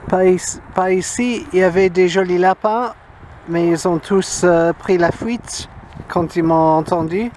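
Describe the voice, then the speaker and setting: A man's voice: sits high, a little slow, darkish tone. A man narrating a casual vlog in short, hesitant phrases into a handheld camera outdoors. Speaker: amateur radio hobbyist. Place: outdoors on a grassy hill. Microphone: handheld camera microphone. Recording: outdoor camera audio with clear ambient noise.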